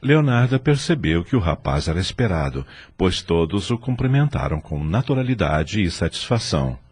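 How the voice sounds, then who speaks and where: A man's voice: low voice, sing-song, normal speed, a little mellow, slightly rough. A man narrating a scripted audio drama in a formal, literary storytelling manner.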